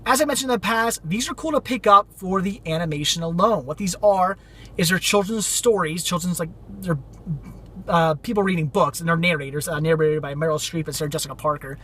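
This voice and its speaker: A man chats casually about his finds, rambling and upbeat. His voice sits high and sounds bright. He mentions he is losing it to a cold. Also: fairly quick, husky. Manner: rambling, enthusiastic, repeats "very cool". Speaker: vlogger showing thrift finds. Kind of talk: casual vlog chat.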